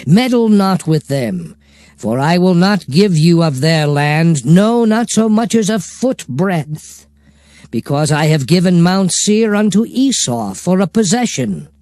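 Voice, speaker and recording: A man's voice: high-pitched, sing-song, measured pace, darkish tone, dry recording. A man playing a solemn prophet who recounts God's commands in a dramatized scripture reading, with emphatic oratory. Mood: grave, commanding. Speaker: Bible narrator.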